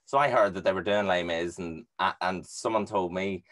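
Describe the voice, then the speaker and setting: A young man with a deep bass voice hosts a relaxed chat through a headset mic in a small home room. He talks low and easygoing, with restarts and small stammers as he gathers his thoughts. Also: moderate pace. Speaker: podcast host. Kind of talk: casual conversational interview. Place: small bedroom studio at home, indoors. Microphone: headset with microphone on a video call.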